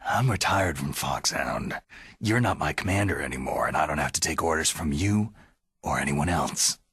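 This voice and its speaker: Very husky, low-voiced gruff soldier. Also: male voice, lively intonation, normal speed, fairly bright tone, no echo, full character voice. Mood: defiant. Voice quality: very husky, gravelly rasp. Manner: terse, skeptical, dry. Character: gruff, defiant retired special-forces soldier on a covert mission. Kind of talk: dramatic video game cutscene acting.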